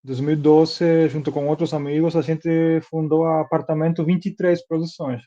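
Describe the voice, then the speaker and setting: A man's voice: on the high side, little pitch movement, normal speed, dark tone, highs cut off. A man from Cuba with a Cuban accent and Spanish as his first language, answering informally as an interview guest. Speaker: interview guest, film producer and director.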